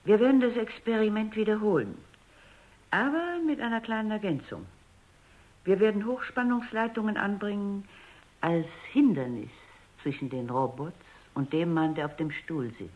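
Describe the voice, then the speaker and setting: An actress playing a stern, coolly analytical robot psychologist in a radio drama, precise, commanding and didactic. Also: female voice, medium pitch, moderate pace, natural voice. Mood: brisk, resolute. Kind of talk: German radio drama acting. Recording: muffled, dull radio drama recording.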